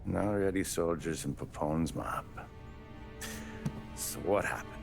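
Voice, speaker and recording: Very deep, gravelly, cynical American lawman. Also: measured pace. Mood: probing. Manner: blunt, sardonic. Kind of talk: cinematic video game crime drama acting. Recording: music behind the voice.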